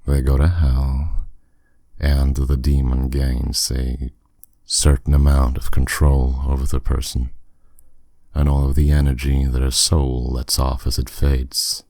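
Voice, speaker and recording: A man playing a powerful, courteous demon captor in an ASMR roleplay, speaking with smooth, teasing, condescending authority. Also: a bass voice, flat delivery, mellow, no room sound, clean studio sound, full character voice. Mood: calm, matter-of-fact. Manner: smooth, condescending, unhurried, with endearments.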